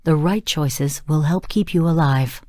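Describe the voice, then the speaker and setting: An actress playing a cool, exacting female AI coach in a video game, giving clipped, formal, deadpan orders and blunt judgments. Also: low voice, measured pace, no echo, clean studio sound. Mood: coolly instructive. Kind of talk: scripted game voice lines.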